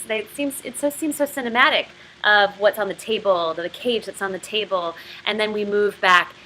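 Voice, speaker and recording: A woman's voice: medium pitch, sharp tone, a little hiss or hum, low-quality recording. A woman giving an explanatory literature lesson as a video host, conversational and enthusiastic. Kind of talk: explanatory lesson with novel read-aloud.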